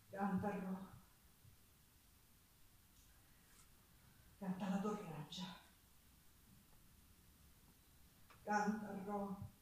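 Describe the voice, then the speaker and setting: A distant, heavily echoing stage recording of an actress playing a Sicilian folk singer, a woman of sorrow and defiance. She declaims very slowly and theatrically in a Sicilian accent, with guitar music behind her. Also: medium pitch, close to an everyday voice. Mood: low, urging. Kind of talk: theatrical monologue with folk singing, live on stage. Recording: very echoey hall, distant mic, guitar behind.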